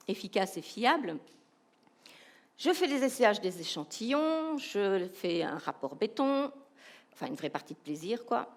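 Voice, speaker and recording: A woman with a Belgian French accent giving a humorous, animated keynote talk, asking the audience rhetorical questions. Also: medium pitch. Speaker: keynote speaker, professional coach.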